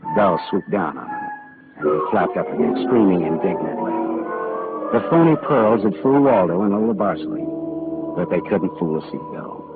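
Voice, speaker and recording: A man's voice: low-pitched, very animated, normal speed. An American man acting a wry, world-weary but decent private detective in a 1940s radio drama, with a clipped, tough delivery. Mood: somber narration. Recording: tinny 1940s radio broadcast, music.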